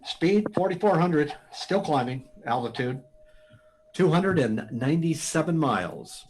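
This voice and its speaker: A man playing a daring, steady test pilot given to excitement, in radio drama style. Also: on the high side, unhurried, breathy edge, low-quality recording. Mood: focused, reporting.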